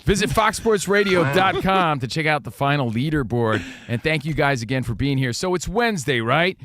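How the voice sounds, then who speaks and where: A man co-hosting a sports radio show, talking in an energetic, jokey way about baseball and pop culture. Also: a little high, moderate pace. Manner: energetic, hyped, jokey rhymes. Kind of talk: casual sports talk show banter.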